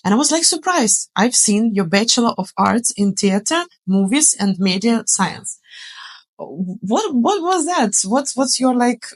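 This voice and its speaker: A woman hosting an interview podcast as a coach, speaking haltingly with frequent restarts. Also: on the high side, very animated, husky. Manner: warm, halting, many restarts and fillers.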